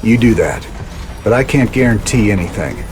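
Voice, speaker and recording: An actor playing a weary, resolute rebel commander, laconic and folksy, in a video game. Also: male voice, fairly low pitch, normal speed, over music, close to an everyday voice. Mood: firm, wry. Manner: laconic, folksy, steady authority. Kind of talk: dramatic video game acting.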